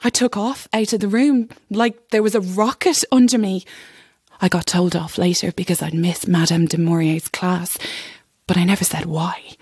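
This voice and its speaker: A woman's voice: lowish voice, steady tone, normal speed, a little mellow, breathy edge, dry recording, clean studio sound. An actress playing a nervy young chorus girl telling a ghost story in an audio drama, chatty and suspenseful. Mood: chatty, confiding. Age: young adult.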